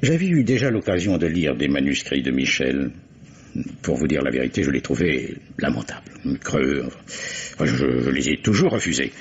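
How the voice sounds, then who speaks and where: An actor playing a grave, formal older gentleman publisher in a radio mystery, speaking in deliberate, literary phrases. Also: very animated, full character voice. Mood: grave, dramatic. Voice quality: very deep, husky. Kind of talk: French radio mystery drama acting. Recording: dull old radio recording.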